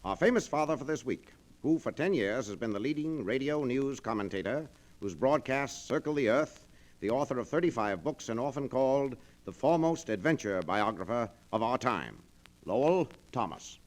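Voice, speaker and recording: American man, radio announcer, very lively intonation, medium pitch, 1940s broadcast style. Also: normal speed.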